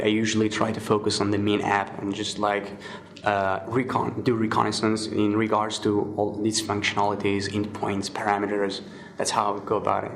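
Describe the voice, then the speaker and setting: Low, flat-voiced young man. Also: vocal fry. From Morocco. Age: 20s. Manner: relaxed, many fillers and restarts. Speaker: guest, security researcher. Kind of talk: casual interview answers.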